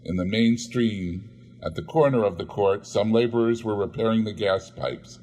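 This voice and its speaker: Low-voiced man reading a classic novel. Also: darkish tone. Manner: measured storytelling. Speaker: audiobook reader.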